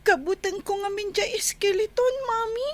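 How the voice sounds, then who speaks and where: A woman's voice: very high-pitched, moderate pace. A woman playing a frightened, clingy young child in a radio drama, pleading plaintively. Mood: anxious. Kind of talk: Ilocano radio drama acting.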